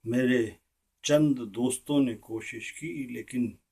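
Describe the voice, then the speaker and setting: An actor playing an earnest, disillusioned Indian statesman, delivering a theatrical political speech with deliberate, weighty pauses. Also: mid-range voice, gravelly, a little mellow, husky, full character voice. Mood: regretful. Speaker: actor performing a monologue. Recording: distant microphone.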